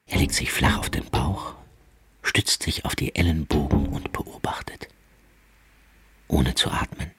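An actor playing a grave narrator of a visionary radio play, speaking in an intense, incantatory way. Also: male voice, very deep voice, steady tone, a little slow, long pauses, slightly rough, no room sound, in a whisper, heavily acted. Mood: hushed, awed. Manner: intense, incantatory, swinging from hushed to forceful. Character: grave male narrator of a visionary, nightmarish tale.

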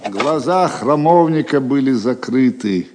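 A man's voice: medium pitch, animated, measured pace, a crowd in the background. A man narrating an audio drama as dramatic scripted storytelling, with long reverb and music behind him.

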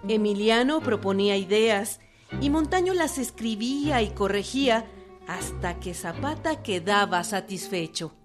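A woman's voice: mid-range voice, expressive, measured pace, over music. A woman reading scripted narration in a historical radio drama.

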